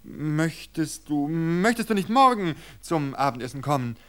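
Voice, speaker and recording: A man's voice: a little high, sing-song, a little slow, voice pushed up. A young wife in an audio storybook, acted out by a male reader, speaking in a lifted voice. She is polite but guarded, easily startled, and both hesitant and emphatic. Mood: nervous, apologetic.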